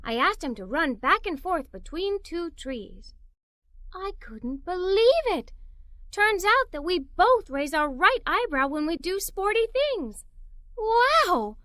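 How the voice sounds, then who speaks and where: A woman reading a children's story aloud in the voice of a young girl narrator, with a theatrical, expressive delivery. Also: very high-pitched, takes it slowly, dry recording, clean studio sound.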